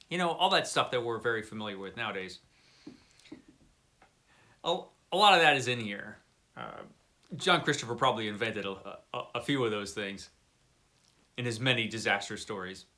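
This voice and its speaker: A man's voice: on the low side, sharp tone. A man hosting a book channel, chatting casually to viewers and leaning on words for emphasis. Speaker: book review channel host. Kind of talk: casual book chat to camera.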